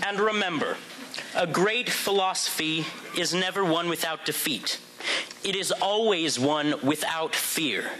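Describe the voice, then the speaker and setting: A man's voice: a little high, unhurried, pushed and bright, low-quality recording. A young man, a student actor, delivering a dramatic reading of political victory speeches in a declamatory public-speaking manner. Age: young adult. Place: indoor room before an audience. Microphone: podium microphone. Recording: live event, faint room noise.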